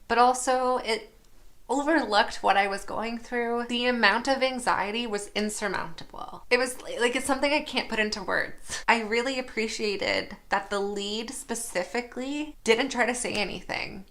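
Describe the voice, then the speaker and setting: A young woman speaking candidly to the camera in a personal vlog, her voice sitting a little high. She sounds reflective, with a faint rasp at times, and laughs at herself now and then. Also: moderate pace, fairly bright tone. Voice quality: slightly raspy at times. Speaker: nursing student.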